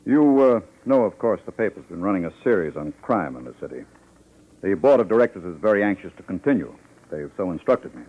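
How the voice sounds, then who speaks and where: Fast-talking, guarded, wealthy newspaper boss. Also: male voice, sits low, sing-song. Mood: hesitant, guarded. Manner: hesitant, discreet, formal, with pauses. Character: wealthy, guarded, businesslike male newspaper publisher. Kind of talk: 1950s radio drama acting. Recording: slightly muffled old radio recording.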